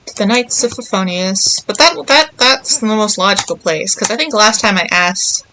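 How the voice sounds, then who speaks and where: A woman's voice: mid-range voice, normal speed, sharp tone, a little hiss or hum, rough recording. A woman chatting casually as she streams a game, rambling and thinking aloud. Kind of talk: casual livestream chat while playing.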